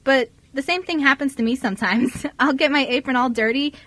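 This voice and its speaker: A high, girlish voice from an actress playing a friendly teenager in a radio play. She is chatty and eager, with a bubbly, giggly way of talking to new people. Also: brisk, a bit bright, dry recording. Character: bubbly, friendly fifteen-year-old girl working in family cafe. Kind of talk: amateur radio drama dialogue.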